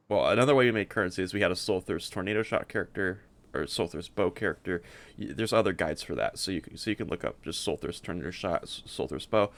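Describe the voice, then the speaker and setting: Deep-voiced man, rambling casual game walkthrough. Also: fairly bright tone. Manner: rambling, halting, often repeating words. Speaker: game streamer presenting a character build.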